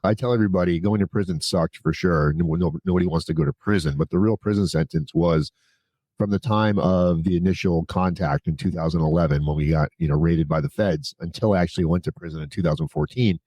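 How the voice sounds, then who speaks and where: Deep-voiced man telling his story bluntly. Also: fast talker, dark tone, dry recording. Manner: blunt, informal, swears casually. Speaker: podcast guest, prison consultant. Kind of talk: candid conversational storytelling.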